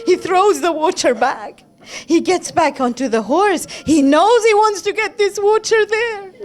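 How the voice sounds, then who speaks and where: Tearful woman preacher, very high-pitched and slow. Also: animated. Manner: dramatic, emotive storytelling, acting out quoted speech. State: tearful, crying, voice breaking. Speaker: religious lecturer. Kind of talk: mournful religious narration, lament sermon.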